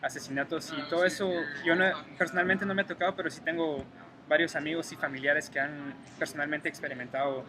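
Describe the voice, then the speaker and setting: A man's voice: on the high side, steady tone, quick pace. A young man from Guatemala with a Guatemalan Spanish accent, answering interview questions thoughtfully with many hesitations. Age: 20s. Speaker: interviewee, graduate student. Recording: noisy room ambience.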